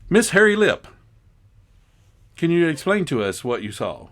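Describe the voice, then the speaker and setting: A man's voice: a little high, very animated, a little slow, fairly bright tone. A man playing reporter in an improvised comedy interview, putting skeptical, teasing questions to an eyewitness.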